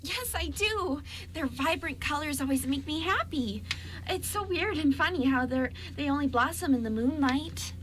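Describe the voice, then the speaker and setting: A woman's voice: high-pitched, expressive, normal speed, a bit bright, slight background noise. A woman playing a tiny, polite, cheerful female fairy in an amateur radio drama. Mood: happy.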